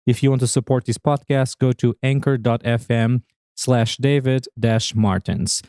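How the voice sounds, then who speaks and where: A man with a Portuguese accent hosts a podcast, reading an upbeat introduction and then chatting with his guest. His voice sits at an average pitch. The sound is dry and close. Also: fairly even intonation, normal speed, warm tone, clean tone, dry recording. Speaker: podcast host and chef. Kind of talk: podcast interview.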